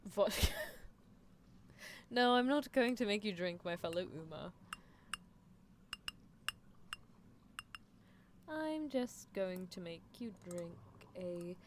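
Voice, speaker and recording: A woman's voice: mid-range voice, natural voice. Woman playing a teasing mad-scientist horse girl, noisy background. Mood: playfully instructive. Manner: coolly clinical, teasing, unhurried musing. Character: gleefully unethical horse-girl mad scientist in lab coat. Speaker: streamer performing character roleplay. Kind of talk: ASMR roleplay, improvised character monologue. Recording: clear background noise.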